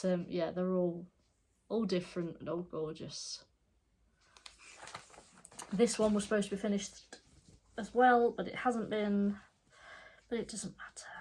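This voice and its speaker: A middle-aged woman with a stuffed-up, congested voice. She talks in a casual, rambling way, often correcting herself mid-sentence, like a relaxed home vlog. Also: mid-range voice, slow, pauses often, a little hiss or hum, low-quality recording. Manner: chatty, rambling, self-correcting. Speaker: cross stitch vlogger. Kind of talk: casual show-and-tell chat.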